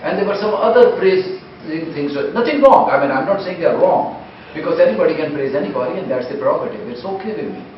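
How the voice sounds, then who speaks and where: Man arguing earnest and insistent, bit high voice, far from the mic, dull lo-fi sound. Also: expressive, quick pace, soft background music. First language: not English. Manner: earnest, insistent, keeps cutting back in. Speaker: discussion participant. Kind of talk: heated debate. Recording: dull echoey recording from far off, faint background noise.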